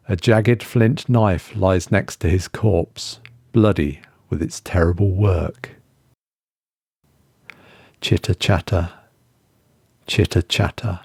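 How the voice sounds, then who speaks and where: A man narrating a horror story in a measured, suspenseful way. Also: sits low, darkish tone, dry recording, clean studio sound. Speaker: story reader.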